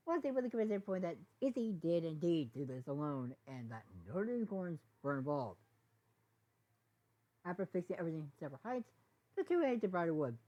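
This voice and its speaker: A woman reading a story aloud in a halting way, often stumbling over words. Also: deep voice, warm tone. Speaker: fan fiction reader. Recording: dull, lo-fi sound.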